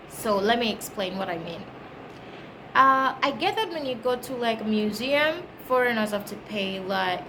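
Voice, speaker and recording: A woman from Kenya with a Kenyan accent, chatting casually to camera as a travel vlogger and explaining things in a conversational way. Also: medium pitch. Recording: clearly noisy background ambience.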